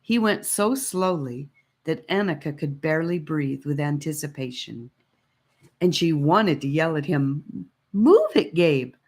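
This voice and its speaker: A woman narrating a scripted story in a measured, expressive storytelling manner. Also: low voice. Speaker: audiobook reader.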